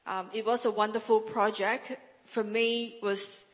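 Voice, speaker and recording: A woman's voice: mid-range voice, steady tone, measured pace. Earnest woman in a reverberant hall. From northern Malaysia. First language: a Chinese dialect. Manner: earnest, frequent restarts and repeated words. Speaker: guest speaker, Bible translator. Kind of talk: testimony speech in church.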